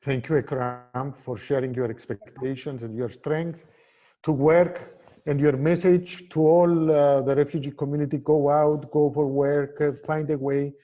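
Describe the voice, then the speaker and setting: A man moderating an online press conference, halting and full of fillers, thanking and inviting speakers. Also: fairly high pitch, fairly even intonation, highs cut off. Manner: hesitant, many fillers, warm thanks. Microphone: video call.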